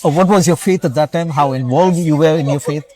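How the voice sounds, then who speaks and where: A man interviewing a guest, asking halting questions full of fillers. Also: fairly high pitch, a crowd in the background. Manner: hesitant questions, many fillers. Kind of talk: casual interview.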